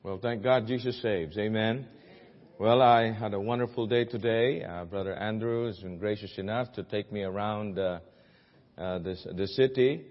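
Man, Filipino accent, very deep voice, guest preacher, earnest, halting, long church reverb. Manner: earnest, with many fillers and restarts. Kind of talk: sermon. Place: church sanctuary. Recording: dull audio with long hall reverb.

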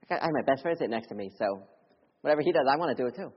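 A young man from Philadelphia preaching a sermon as a missionary, mixing personal testimony with earnest appeals, his voice rising loud and urgent, then dropping to hushed lows. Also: expressive, very fast, slightly rough. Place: church sanctuary. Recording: dull audio with long church reverb.

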